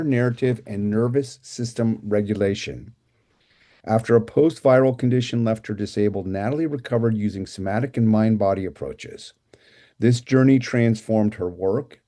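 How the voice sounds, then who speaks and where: A man's voice: mid-range voice, dark tone. A middle-aged man hosting a casual interview show, rambling a little with fillers and repeated words. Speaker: podcast host.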